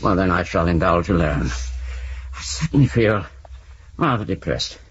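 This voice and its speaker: A man's voice: deep voice, expressive, normal speed, slight background noise. A man playing a flippant, theatrical novelist and ageing ex-communist in a radio drama, with an English accent and a wry, urbane, wordy manner. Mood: mock gloomy. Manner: wry, verbose, urbane, mock-courteous. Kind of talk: 1970s radio drama acting. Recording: dull lo-fi 1970s radio recording.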